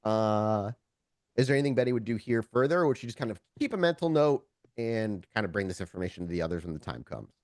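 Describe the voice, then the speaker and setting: Male game master, informal storyteller, very lively intonation, dry close sound. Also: lowish voice, fast talker, dry recording. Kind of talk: narrating a tabletop roleplaying game, conversational.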